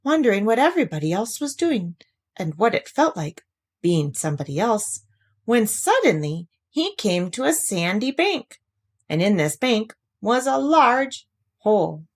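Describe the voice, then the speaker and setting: A woman reading a children's story aloud as an expressive narrator. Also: medium pitch, measured pace.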